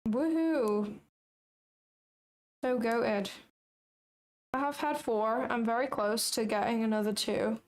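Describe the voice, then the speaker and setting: Young woman, fairly high voice, casual livestream trader, blunt, sarcastic, sweary. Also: slow pace, pauses often. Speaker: futures trading livestreamer.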